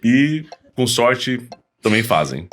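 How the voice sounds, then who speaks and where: Man, Brazilian accent, mid-pitched voice, podcast host, playful, conversational. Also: big pitch swings, takes it slowly, a bit bright. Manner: playful, joking. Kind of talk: casual podcast chat.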